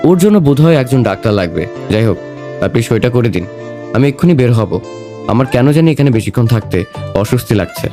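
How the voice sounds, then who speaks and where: A man's voice: medium pitch, sing-song, fast, music in the background, close to an everyday voice. A man playing a fussy, suspicious older male office manager in an audio story drama. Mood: uneasy.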